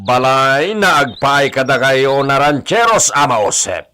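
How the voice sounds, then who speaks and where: An actor playing a proud, wealthy landowner in a radio drama, with an Ilocano accent and an authoritative, declaiming manner with touches of Spanish. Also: male voice, mid-range voice, very animated, unhurried, pushed and bright, clean tone. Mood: proud, genial. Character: proud wealthy rancher señor, commanding landowner.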